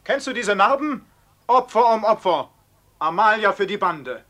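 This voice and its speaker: An actor playing a fierce, hard robber in a classical radio play, declaiming in theatrical style. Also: male voice, high voice, lively intonation, normal speed, fairly bright tone. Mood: fierce accusation.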